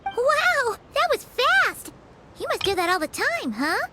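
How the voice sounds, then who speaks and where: A woman voicing a tiny, childlike floating fairy companion in a video game, squeaky, bubbly and excitable. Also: slow, heavy background noise, full character voice. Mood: eager. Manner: exclamatory, talks of herself in third person. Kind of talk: video game character acting. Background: game music.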